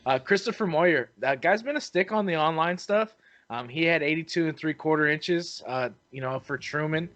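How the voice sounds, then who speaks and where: A man's voice: a little high, moderate pace. A man casually reading out fishing tournament results on a podcast, with lots of fillers. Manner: relaxed, stumbling over names with fillers. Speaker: podcast host.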